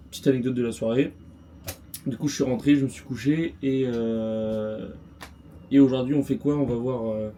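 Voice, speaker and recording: A man's voice: mid-range voice, dark tone. Brief: Chatty male travel vlogger, loose and slangy, street noise around a handheld camera mic. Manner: loose, slangy and self-mocking, with frequent fillers. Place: mostly outdoors in sunny, busy city streets. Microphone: small handheld gimbal camera mic.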